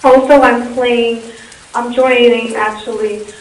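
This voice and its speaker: A woman from Russia, a musician answering interview questions in a relaxed, chatty way. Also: on the high side, slow pace. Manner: relaxed and chatty, with frequent ums. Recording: echoey room, far from the mic, faint noise.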